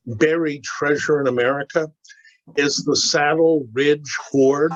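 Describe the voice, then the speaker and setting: A man in a study group tells a story full of facts, and he speaks very slowly and carefully. His voice sits a little high for a man. The sound is dry and dull lo-fi. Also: dry recording. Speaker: class member. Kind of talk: casual storytelling in discussion.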